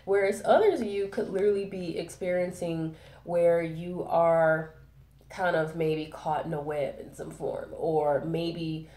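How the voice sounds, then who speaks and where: A woman's voice: fairly low pitch, fairly even intonation, unhurried, mellow, reverberant. A woman with a head cold, sounding nasal, giving a chatty tarot reading full of fillers and check-ins. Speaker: tarot reader. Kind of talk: casual spoken tarot reading.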